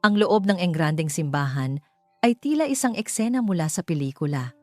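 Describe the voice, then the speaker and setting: Low-pitched Filipino woman narrating over music. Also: expressive, normal speed, no room sound. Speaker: storyteller, narrator. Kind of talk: scripted dramatic narration of a romance story. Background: background music.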